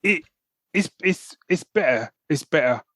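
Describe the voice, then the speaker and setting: A man's voice: on the high side, animated, unhurried, a bit bright, dry recording, studio quality. A man co-hosting a podcast, chatting casually and enthusiastically, with frequent restarts. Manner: enthusiastic, rambling with many restarts. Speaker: podcast co-host. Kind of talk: casual chat.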